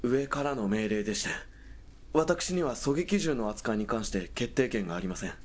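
A formal, obedient young corporal voiced by a man for a military radio drama. His medium-pitched voice is breathy and rough-edged, and he talks with stiff military politeness. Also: animated, moderate pace, close to an everyday voice. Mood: dutiful.

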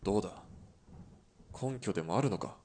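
Man voicing a gruff army sniper, very low voice, terse clipped replies, radio drama. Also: lively intonation, fairly quick, warm tone, a little hiss or hum, close to an everyday voice. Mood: defiant.

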